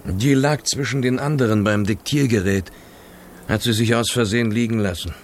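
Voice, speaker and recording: An actor playing an urgent, emotionally pressed kept lover and schemer in a German radio drama. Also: male voice, mid-range voice, moderate pace, slight background noise, natural voice. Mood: secretive. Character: desperate kept lover, pleading, turning resolute schemer.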